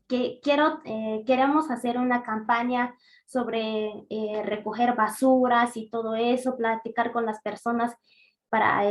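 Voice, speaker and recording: Young female youth representative, Tzeltal native speaker, hesitant delivery with pauses, higher register. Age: young adult. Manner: hesitant, many pauses and fillers. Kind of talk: speaking at a conference session.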